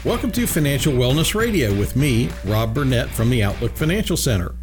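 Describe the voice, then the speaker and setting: A man's voice: mid-range voice, very animated, a little fast, over music. A man hosting a financial radio show from a studio, chatting casually with many fillers and restarts. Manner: easygoing, frequent fillers and restarts.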